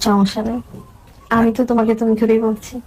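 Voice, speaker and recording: Mellow, quick-talking woman on a call. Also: fairly high pitch, level tone, a little hiss or hum, low-quality recording. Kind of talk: casual phone conversation.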